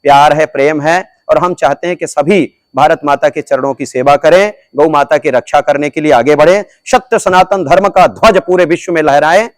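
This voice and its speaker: A man with a high, ringing voice gives a sermon-like answer to an interviewer and hammers his points home through repetition. The sound is dry and close, with no room around it. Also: fairly even intonation, normal speed, few pauses, no room sound. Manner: declamatory, repeats phrases for emphasis. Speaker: religious guru being interviewed.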